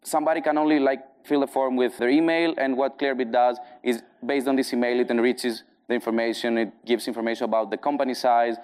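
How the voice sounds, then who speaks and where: A man with a strong Greek accent gives a conference talk, tired from jet lag. His voice is smooth and resonant. He talks in a chatty, unpolished way with fillers. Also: medium pitch, little pitch movement, quick pace, few pauses, darkish tone. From Greece. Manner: conversational, filler-heavy presenting. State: jet-lagged. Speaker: conference speaker.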